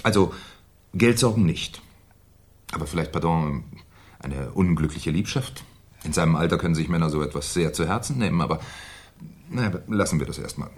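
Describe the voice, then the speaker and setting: A man acting a polite, pedantic, quietly sceptical police inspector in a German radio crime play, questioning hesitantly and deliberately. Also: a bass voice, animated. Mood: methodical, courteous.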